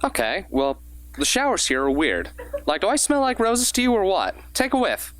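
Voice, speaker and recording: A man's voice: sits high, huge pitch swings, normal speed, noisy background. A man playing a likeable, bashful, joking teenage boy in a radio drama. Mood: playful.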